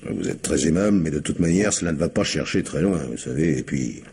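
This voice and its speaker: An actor playing a venal, debt-ridden painter in a vintage radio mystery, wry and slyly hesitant. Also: male voice, very deep voice, brisk, darkish tone, a little hiss or hum. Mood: wary.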